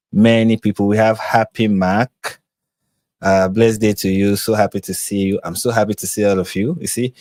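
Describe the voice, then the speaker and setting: A man's voice: fairly low pitch, a little mellow, no room sound. Laughing Nigerian man hosting a live stream. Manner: laughs often, chatty and playful. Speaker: host. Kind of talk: casual live stream chat.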